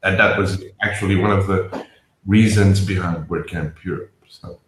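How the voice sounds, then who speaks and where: A man's voice: low voice, steady tone, normal speed, slight background noise. A man with a Portuguese accent, a guest on a panel, talking casually and rambling with lots of restarts. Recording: roomy echo.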